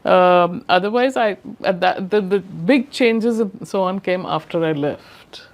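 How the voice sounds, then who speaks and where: A woman's voice: on the low side, measured pace. Older Indian woman, thoughtful and emphatic. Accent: Indian English. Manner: thoughtful, emphatic, frequent restarts and soft laughs. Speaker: interview guest, professor emerita. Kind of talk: reflective conversational interview.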